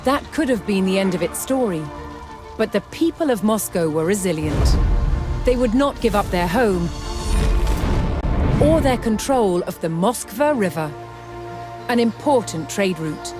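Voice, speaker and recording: A woman reads scripted historical narration for a game in a measured, dramatic storytelling manner, with deliberate pauses. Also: medium pitch, expressive. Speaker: documentary-style game narrator. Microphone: studio voice-over microphone. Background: music.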